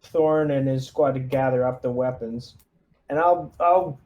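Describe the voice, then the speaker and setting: A man's voice: medium pitch, measured pace, dull audio. A man chatting casually as a player in a tabletop roleplaying game.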